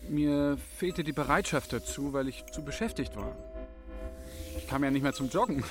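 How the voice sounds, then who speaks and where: An actor playing an earnest, candid interviewee, answering hesitantly in a naturalistic radio drama. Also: male voice, a little high, normal speed, music in the background, lightly acted.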